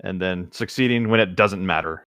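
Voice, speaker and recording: A man's voice: sits low, normal speed, a bit bright, smooth, no room sound, studio quality. A man who reads a written story aloud and drops into casual chat as a podcast host. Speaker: podcast host reading stories.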